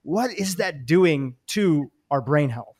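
A man's voice: a little high, animated, slow, darkish tone, slightly rough. A man from Kenya hosting an interview, asking conversational questions. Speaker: host.

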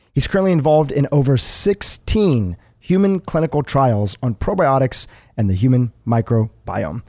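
Male podcast host, mid-pitched voice, measured delivery, close dry recording. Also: darkish tone, no echo. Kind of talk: scripted guest introduction and interview questions.

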